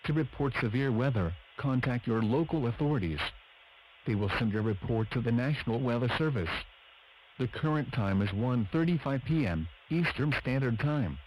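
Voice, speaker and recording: Man, mid-pitched, flat synthetic delivery, formal emergency broadcast announcer, faintly noisy radio recording. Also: moderate pace, muffled recording.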